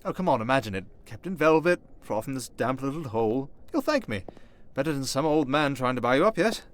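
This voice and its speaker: A man playing a smooth-talking, sarcastic vampire noble, chatting idly and vainly with a fellow prisoner in an ASMR roleplay. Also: mid-range voice, lively intonation, brisk, natural voice. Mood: chatty.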